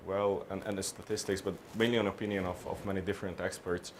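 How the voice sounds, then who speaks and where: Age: unknown. Sex: man. Pitch: deep. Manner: measured, hesitant, restarts often. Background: faint ambience. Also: level tone, slight background noise. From Hungary. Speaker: interviewee, business consultant. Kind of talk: on-camera business interview.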